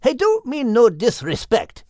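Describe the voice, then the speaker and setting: A man's voice: extremely high pitch, huge pitch swings, a little slow, a little mellow, no room sound, clean studio sound, pitched above the performer's own voice, heavily acted. A man voicing a plainspoken male seagull with folksy, homespun speech in an audiobook. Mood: puzzled. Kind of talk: audiobook character voice.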